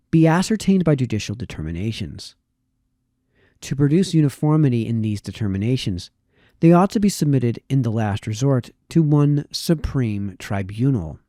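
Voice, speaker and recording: Male reader, mid-pitched and soft-edged, measured formal delivery of old prose, small stumbles, very dry close recording. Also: no room sound. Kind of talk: reading aloud a historical political text.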